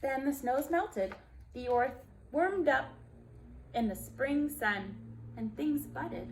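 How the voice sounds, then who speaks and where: Female children's storyteller, higher-pitched, very slow delivery, gentle, expressive, talks straight to the young audience. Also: a little hiss or hum, low-quality recording. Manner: expressive, gentle, speaking directly to young listeners. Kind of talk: reading a picture book aloud to children, with asides.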